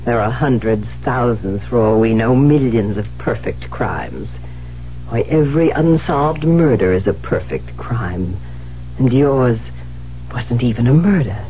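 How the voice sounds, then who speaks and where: Slow, menacing murderer. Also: male voice, medium pitch, expressive, soft background music. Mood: smug, condescending. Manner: deliberate, condescending, menacing. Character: cold, calculating male murderer menacing his victim. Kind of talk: 1970s radio drama acting. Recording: muffled, humming old radio broadcast.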